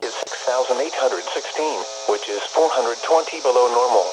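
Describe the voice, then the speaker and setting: Humming handheld radio, American male announcer, mid-pitched, scripted weather bulletin. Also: normal speed. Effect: heard through a handheld radio. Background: hum and radio noise.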